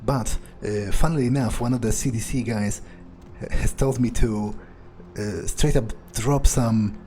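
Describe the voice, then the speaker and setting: Man playing a smug, low-voiced vampire musing aloud. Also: fairly even intonation, dark tone, lightly acted. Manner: halting, musing, sardonic. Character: smug cynical male vampire, talking to himself. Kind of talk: in-character gameplay roleplay, improvised muttering. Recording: game music behind. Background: video game music.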